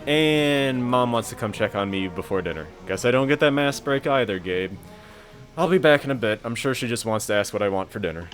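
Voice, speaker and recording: A man's voice: medium pitch, animated, brisk, faint music behind, close to an everyday voice. A man playing a laid-back, wisecracking student gamer in a casual amateur audio drama. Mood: wry. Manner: casual, joking.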